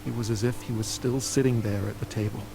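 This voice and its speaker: An actor playing an earnest, grieving scholar, a devoted friend, in a video game. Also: male voice, on the low side, steady tone, fast talker, creaky, poor audio. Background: background music.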